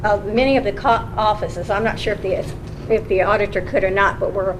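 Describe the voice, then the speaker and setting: A woman's voice: medium pitch, fairly quick. A woman, a county recorder, earnestly explaining her office's work to a county council in a meeting room. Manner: earnest, explaining patiently with restarts. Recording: public meeting recording with steady hum.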